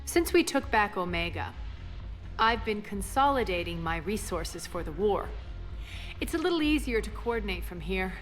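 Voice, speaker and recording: An actress playing a sly, imperious underworld boss in a video game, cool, haughty and wry. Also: female voice, mid-range voice, expressive, moderate pace, big-room sound, faint music behind, lightly acted. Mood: smug, cool.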